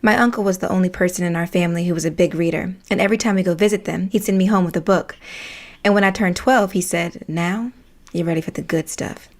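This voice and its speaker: A woman's voice: on the low side, fast talker, close to an everyday voice. An actress playing a friendly, earnest young woman tenant in an audio comedy play, speaking conversationally. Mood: reminiscing. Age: young adult.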